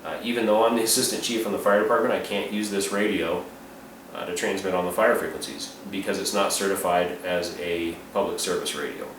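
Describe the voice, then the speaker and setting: A man's voice: sits low, steady tone, a little fast, a bit bright. A man giving an informal, unscripted product review and explainer in a plain-spoken, matter-of-fact way. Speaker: reviewer and amateur radio hobbyist. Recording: roomy, distant mic, clearly noisy.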